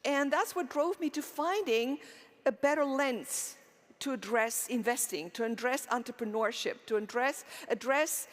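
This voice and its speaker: A middle-aged woman from Romania speaks from a conference stage, her voice sitting a little high. She poses rhetorical questions to the audience and answers them, with fillers and restarts along the way. A long reverb from the large hall hangs after her words. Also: a little slow. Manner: earnest, with frequent fillers and restarts. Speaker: keynote speaker. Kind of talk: conference keynote talk.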